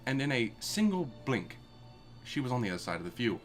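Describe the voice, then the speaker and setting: A man's voice: mid-range voice, very animated, brisk, faint music behind. A man reading a story aloud live for comedy, with an irreverent manner and amused asides. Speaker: reader of fan fiction.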